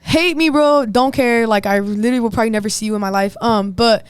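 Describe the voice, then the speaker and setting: A young woman singer with a deep voice, talking bluntly and defiantly with a lot of slang in a casual podcast chat. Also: fast talker, clean tone, no echo, studio quality. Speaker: singer and guest.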